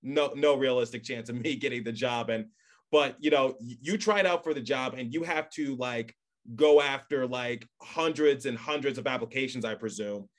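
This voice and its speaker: A man's voice: mid-range voice, normal speed. A young man hosting a casual podcast interview, chatty, with long wordy questions and asides. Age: young adult. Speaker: podcast host.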